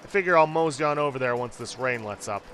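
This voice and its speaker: A man's voice: mid-range voice, animated, fast talker, fairly bright tone, a little hiss or hum, close to an everyday voice. A man voicing a cheerful, joshing hobo from a video game, with folksy, jovial phrasing. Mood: friendly, folksy. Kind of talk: read-aloud game character dialogue.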